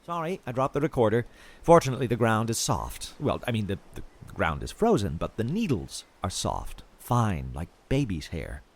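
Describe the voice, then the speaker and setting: A man playing an eerily fascinated field researcher in an audio drama, recording a halting, wondering monologue as he slips toward madness. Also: fairly low pitch, huge pitch swings, full character voice. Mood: halting, distracted.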